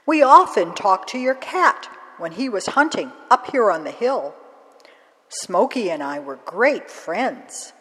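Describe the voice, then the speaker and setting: A woman voicing a bent little old crone who speaks gently and murmurs kindly. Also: mid-range voice, sing-song, slow pace, in a large hall, low-quality recording. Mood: gentle, wise.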